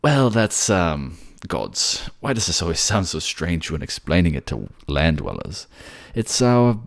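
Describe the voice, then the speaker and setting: A courtly merman prince played by a man for a romantic fantasy audio drama. His voice is very deep and gravelly, with lively melodic swings. He is affectionate, polite and modest, with bashful hesitations. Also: a little slow, dry recording, heavily acted. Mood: bashful, amused.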